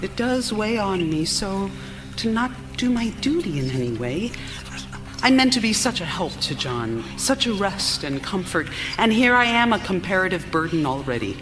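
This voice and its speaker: A woman's voice: medium pitch, big pitch swings, moderate pace, music in the background, close to an everyday voice. A woman playing an anxious, meek young wife in live period radio theater, with fretful, formal old-fashioned diction. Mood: confiding, melancholy. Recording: live theater recording, clear background noise.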